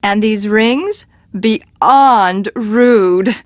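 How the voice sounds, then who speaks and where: An actress playing an imperious, eccentric fashion designer in a video game, with a haughty, dramatic, emphatic delivery. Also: female voice, medium pitch, very slow, no echo, studio quality. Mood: delighted, affected.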